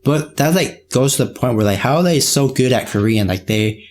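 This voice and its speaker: A man's voice: medium pitch, animated, normal speed, a little mellow. A man hosting a casual podcast, speaking in informal, slangy and somewhat hesitant phrases. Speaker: podcast host, K-pop reaction video creator. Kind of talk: casual chat.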